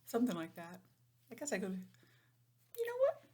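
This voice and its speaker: A woman teacher reading a picture book aloud to young children, stopping for simple, chatty explanations in an animated manner. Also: a little high, moderate pace. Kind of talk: storybook read-aloud with chatty asides.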